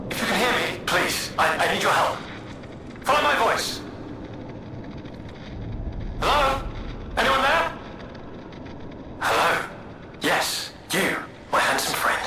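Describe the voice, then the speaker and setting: An actor playing a vain, charming male alchemist in a video game, florid, flattering and theatrical as he speaks through puppets. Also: sits high, exaggerated voice. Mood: pleading, calling, then smooth, coaxing. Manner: florid, flattering, self-pitying and playful. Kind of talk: dramatic video game dialogue. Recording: very echoey, with loud background noise.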